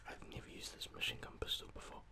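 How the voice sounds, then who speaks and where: A young man giving rambling, casual, self-deprecating ASMR gameplay commentary in a breathy whisper, close to the microphone. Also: fast talker. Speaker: gaming video host. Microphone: close microphone, voice-over on gameplay.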